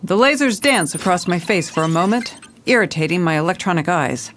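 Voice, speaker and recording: A woman's voice: lowish voice, expressive, fairly quick, a bit bright, slight background noise. A woman playing a jaded, hard-boiled police commissioner, narrating a noir audio drama in a dry, world-weary, stern way.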